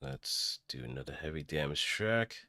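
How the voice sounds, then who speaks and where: Low-voiced, lively male streamer. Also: normal speed, gravelly, dry recording, studio quality. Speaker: streamer practising voice acting. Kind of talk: casual live stream commentary.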